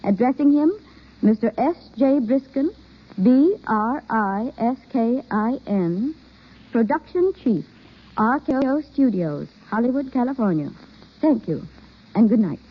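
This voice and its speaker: A woman delivers a formal vintage radio announcement. Her voice is fairly high and deliberate, with scripted phrasing. The recording is dull and old. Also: animated, slightly rough. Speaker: guest announcer.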